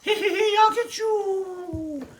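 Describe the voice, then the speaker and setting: A man's voice: extremely high pitch, slow pace, pitched above the performer's own voice. A man voicing a plucky, mischievous young witch girl from a children's storybook. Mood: cackling, gleeful.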